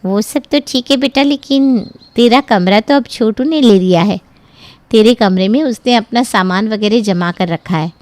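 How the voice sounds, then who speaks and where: A woman's voice: fairly high pitch, normal speed, a little mellow, no echo, natural voice. A practical middle-aged mother from a cartoon family tale, played by a woman. She coaxes and explains, trying to placate. The recording is dry and free of room sound. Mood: hesitant, practical. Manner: coaxing, explaining. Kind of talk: cartoon story dialogue.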